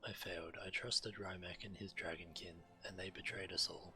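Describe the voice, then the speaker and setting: A man's voice: deep voice, level tone, moderate pace, pushed and bright, heavily acted. An actor playing a grave, guilt-ridden male guardian of a sacred grove in a fantasy game, speaking formally and solemnly. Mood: grave, remorseful. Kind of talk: fantasy video game voice acting. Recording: music behind the voice.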